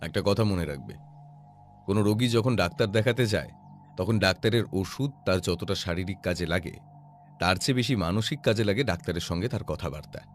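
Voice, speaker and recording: An actor playing a calm, observant detective who explains things in a measured, self-assured way in an audio drama. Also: male voice, low voice, faint music behind, close to an everyday voice. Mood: reflective, instructive. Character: calm, observant male detective, patiently explaining his deductions.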